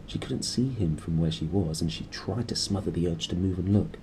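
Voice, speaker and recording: A man's voice: low-pitched, a little fast, mellow, slight background noise, poor audio. A man reading a story aloud expressively. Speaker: reader and host. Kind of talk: expressive audiobook narration with casual asides.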